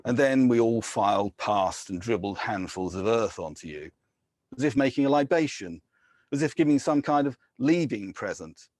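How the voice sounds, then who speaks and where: An English man giving a measured poetry reading, with soft, hesitant asides between poems. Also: medium pitch, animated, no room sound, studio quality. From England. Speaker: poet.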